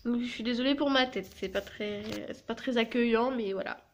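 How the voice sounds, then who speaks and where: A woman's voice: fairly high pitch, a little fast, muffled recording, slight background noise. A young woman vlogging casually at home, stuffy with a cold and hesitant, full of apologetic fillers. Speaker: family vlogger. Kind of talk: casual chatty vlog to camera.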